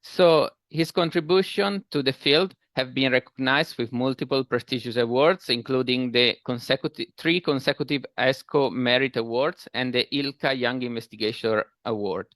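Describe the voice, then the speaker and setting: A man's voice: medium pitch, normal speed, hardly any pauses, a bit bright, no echo. A man hosting a webinar over a video call, formally introducing the speaker with some slips and fillers. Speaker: webinar host.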